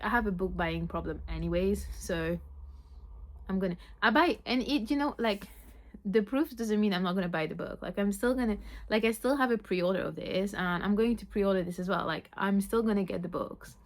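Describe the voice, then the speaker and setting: A woman's voice: mid-range voice, fairly quick. Chatty French woman with Yorkshire twang. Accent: French mixed with Yorkshire. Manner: chatty, says "like" a lot, excitable. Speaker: book vlogger. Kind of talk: chatty vlog monologue.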